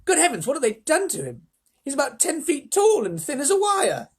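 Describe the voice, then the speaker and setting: A storyteller voicing an astonished, excitable old grandfather with stammering exclamations in a storybook reading. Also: medium pitch, normal speed, breathy edge, pitched above the performer's own voice, exaggerated voice.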